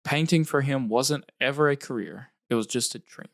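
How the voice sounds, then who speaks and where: A man hosting a podcast, reading scripted narration in a measured storytelling way. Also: mid-range voice, gravelly, no echo, studio quality.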